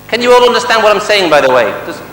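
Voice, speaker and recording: A man's voice: sits high, sing-song, rapid-fire, a bit bright. A man from Manchester with a Mancunian accent, a sales trainer giving a motivational seminar talk, quizzing the audience with rhetorical questions and jokes. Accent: Mancunian English. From Manchester, England. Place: large hall with a live audience. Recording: echoey hall with long reverb and faint hum.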